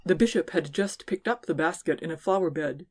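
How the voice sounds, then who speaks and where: A woman's voice: deep voice, brisk, darkish tone, husky. A woman giving scripted narration of classic fiction as an audiobook narrator.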